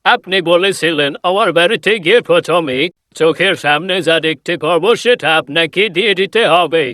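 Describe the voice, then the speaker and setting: Poor pleading woodcutter father , voiced by a man: high, bright, dry studio read. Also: no echo. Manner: pleading, tender.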